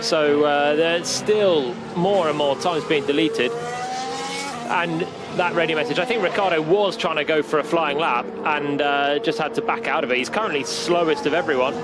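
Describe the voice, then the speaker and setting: A man's voice: on the high side, moderate pace, loud music behind the voice. A man giving live motor racing commentary and analysis.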